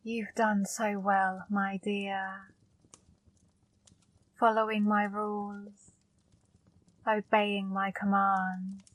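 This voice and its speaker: Slow, flat-voiced flirty demon woman a woman playing. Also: medium pitch, dry recording. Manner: slow, soft, commanding. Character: flirty seductive female demon, controlling. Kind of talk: ASMR roleplay.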